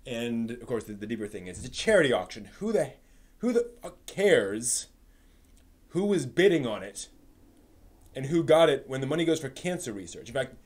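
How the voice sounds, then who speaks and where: A man hosting a live stream with casual, rambling commentary, often halting and repeating his words. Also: medium pitch, big pitch swings, darkish tone.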